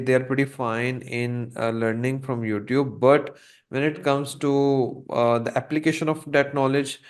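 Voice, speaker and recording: Instructor type, a man with Urdu or Hindi as his first language, even monotone delivery, mid-pitched, pitching his course in a measured, hesitant manner. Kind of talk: promotional explainer to viewers.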